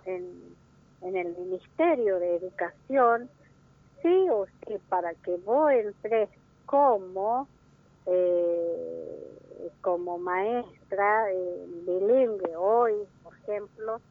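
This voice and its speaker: Interview guest: woman, Wichí first language, northern Argentina, slow and halting, heard over a tinny phone line. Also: medium pitch. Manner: slow, halting, many restarts and fillers. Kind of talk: interview answer.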